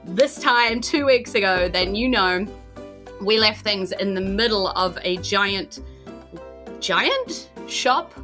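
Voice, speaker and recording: A woman hosting as dungeon master, narrating a tabletop game recap in an excited way. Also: medium pitch, slow, faint music behind.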